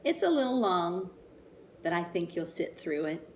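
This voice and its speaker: A woman's voice: on the low side, big pitch swings, normal speed, a little hiss or hum. A woman reading a picture book aloud to children expressively, with chatty asides to young listeners. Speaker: children's story reader, teacher.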